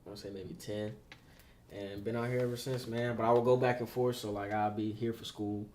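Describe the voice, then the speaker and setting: A young man from Detroit, a rapper, talking about his music in a relaxed, slangy podcast chat. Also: lowish voice, a little fast, a little hiss or hum. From Detroit, USA. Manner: laid-back, slangy, repeats "you know what I'm saying". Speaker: rapper being interviewed.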